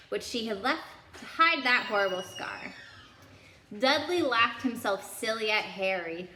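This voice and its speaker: A woman reading a children's story aloud in an expressive storytelling style. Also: fairly high pitch. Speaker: story-time reader. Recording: echoey room with faint background noise.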